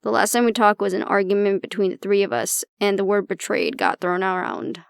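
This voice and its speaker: A woman playing an anxious young woman with a tough front and a soft core, confiding casually and with swearing in an intimate audio roleplay. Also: medium pitch, level tone, brisk, creaky, clean tone, no room sound, clean studio sound, lightly acted. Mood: wry, guarded.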